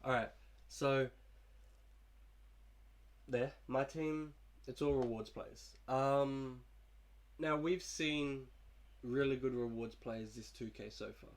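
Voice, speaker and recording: A man's voice: mid-range voice, slow pace. Sick young man chatting about his game. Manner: laid-back, rambling, fillers and restarts. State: sick, coughing. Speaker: gaming YouTuber. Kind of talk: casual gameplay commentary.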